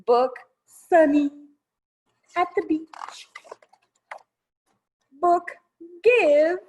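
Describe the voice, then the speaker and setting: Female children's storytime reader, highly expressive melodic delivery, unhurried pace, simple child-directed explanations. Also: poor audio. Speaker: children's librarian, storytime presenter. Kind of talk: children's storytime read-aloud.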